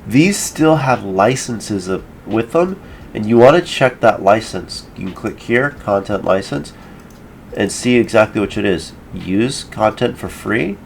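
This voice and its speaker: A man hosting a casual software tutorial, explaining each step in an informal way. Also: lowish voice, lively intonation, measured pace, gravelly, slight background noise. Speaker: tutorial host. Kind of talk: casual screen-recorded software tutorial narration.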